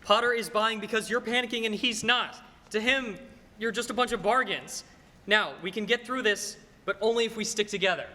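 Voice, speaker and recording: A young man acting a flustered small-town loan man, high and bright in voice, pleading and stammering with earnest emphasis. It is radio play acting, recorded in a reverberant hall with some noise. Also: brisk. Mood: earnest, rallying. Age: teens or young adult. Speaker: high school student actor.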